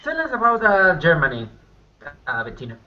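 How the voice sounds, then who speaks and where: Guy playing a tabletop rpg, casual banter, lively highish voice, bit lofi. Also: moderate pace, lo-fi sound. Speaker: roleplaying game player.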